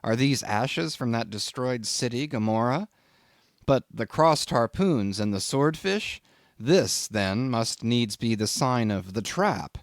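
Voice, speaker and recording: A man's voice: mid-range voice, animated, takes it slowly, no room sound, studio quality. A man voicing a wry, poor sailor who talks to himself in exclamatory, old-fashioned, self-mocking phrases for an audiobook. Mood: wry exclaiming.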